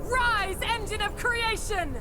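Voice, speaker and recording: A woman's voice: extremely high pitch, heavy background noise. A woman voicing a regal, obsessed ruler and mother turned villain in a video game, grandiose and theatrical. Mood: commanding, exultant. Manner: slow, grandiose, theatrical, breathy pauses. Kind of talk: dramatic video game acting. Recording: loud music under the voice. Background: dramatic game music.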